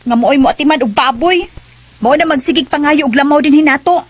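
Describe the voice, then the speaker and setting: A woman's voice: sits high, a little fast, dry recording, lightly acted. An actress playing a fussy, boy-crazy young woman in a radio drama, with an exaggerated girlish, whiny manner. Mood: whiny, haughty.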